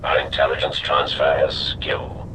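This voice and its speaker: An actor playing a stern Imperial soldier reporting over battlefield radio in a video game. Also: male voice, extremely low pitch, fairly even intonation, unhurried, bright, forceful tone, husky, heavily acted. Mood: approving. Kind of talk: video game military acting. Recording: distant, faintly noisy military radio.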